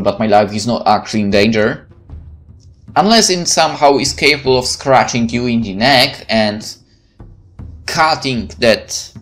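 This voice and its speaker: A man's voice: mid-range voice, fairly bright tone. Man reading a visual novel aloud. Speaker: gameplay streamer.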